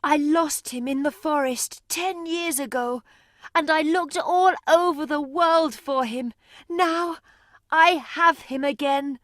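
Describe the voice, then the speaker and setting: A woman's voice: high-pitched, slow pace, a bit bright, no echo, studio quality. A woman playing a poor, weary, loving beggar mother in a storybook tale, emotional and pleading. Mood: tearful joy. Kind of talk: dramatised storybook reading.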